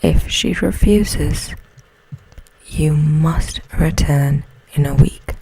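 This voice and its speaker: A menacing storybook beast , as a woman puts him on, whispering in a breathy, husky hush. He is slow, accusing and threatening, as in a bedtime tale. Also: deep voice, exaggerated voice, rough recording. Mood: bargaining. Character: hideous menacing male beast, bargaining harshly.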